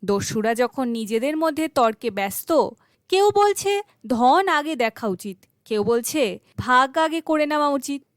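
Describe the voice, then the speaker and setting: A woman storyteller reads a children's folk tale in a high-pitched voice. Her delivery is very lively and melodic, recorded dry and close as scripted narration. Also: unhurried, no room sound.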